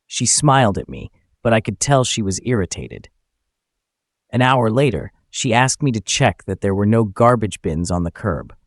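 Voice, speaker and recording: Male storyteller, slightly low voice with animated intonation, close dry sound, first-person narrative read. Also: normal speed, no echo. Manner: acts out the dialogue.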